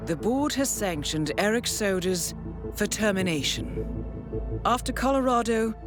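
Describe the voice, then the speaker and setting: A cool, authoritative woman played by an actress speaks as an assassins' handler in a video game. Her formal, precise phrases have lively, varied intonation over a music bed. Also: mid-range voice, a little slow, lightly acted. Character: composed female intelligence handler briefing an assassin. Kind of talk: scripted mission briefing narration.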